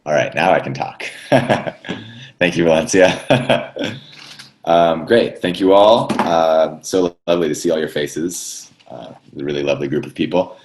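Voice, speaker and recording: A man's voice: very deep voice, unhurried. A man hosting a casual group video call, informal and appreciative in how he talks. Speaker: host moderating a talkback.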